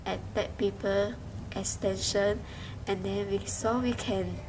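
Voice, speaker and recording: A young Malaysian woman, a university student, giving a halting spoken reflection with many restarts and fillers. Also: mid-range voice, steady tone. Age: young adult. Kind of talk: spoken class reflection in a second language. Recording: noisy background hiss.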